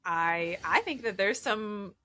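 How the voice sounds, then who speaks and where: A woman's voice: medium pitch, animated, takes it slowly, sharp tone. A woman co-hosting a podcast in casual, playful chat. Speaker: podcast co-host.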